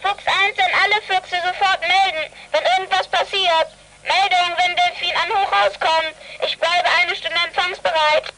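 Very shrill, bossy young gang leader. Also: female voice, fairly even intonation, moderate pace, pushed and bright, exaggerated voice, rough recording. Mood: commanding, eager. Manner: commanding, radio-call phrasing. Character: bossy, eager child leader of detective gang. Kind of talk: German children's audio drama acting. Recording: walkie-talkie call with faint noise.

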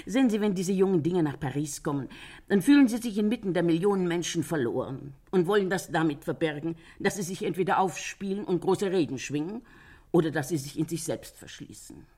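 A woman playing a talkative older Parisian landlady in a German radio crime drama, gossipy but sympathetic, chatting and retelling with a husky voice. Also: lowish voice, lively intonation, fairly quick, dark tone. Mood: gossipy, reflective. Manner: chatty storytelling, quotes others animatedly.

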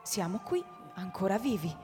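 A woman's voice: mid-range voice, very animated, normal speed, mellow, close to an everyday voice. An actress playing a kindly, pious, matter-of-fact old grandmother in a radio drama, with emphatic delivery. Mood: firm, philosophical. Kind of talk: live radio drama acting. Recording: reverberant hall, faint music behind.

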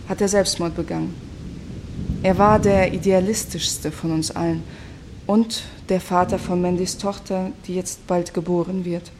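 An actress playing a bitter, reflective commune activist in a scornful, brooding radio play monologue. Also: female voice, medium pitch, darkish tone, a little hiss or hum, natural voice.

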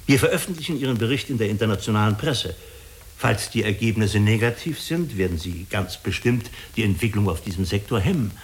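An actor playing a diplomatic, persuasive official of an international agency in a German radio drama, speaking in a measured manner. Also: male voice, lowish voice, expressive, slight background noise, close to an everyday voice. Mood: earnest, persuasive.

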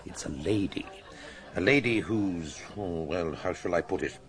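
An actor playing a genial, worldly British doctor, wry and conversational, in a radio drama. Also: male voice, sits low, measured pace, crowd noise.

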